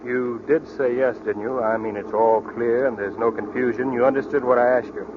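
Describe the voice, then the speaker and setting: An actor playing an earnest, brisk young doctor in love in a 1940s radio drama. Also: male voice, medium pitch, fairly even intonation, natural voice. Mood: brisk, intent. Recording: muffled, noisy 1940s radio broadcast.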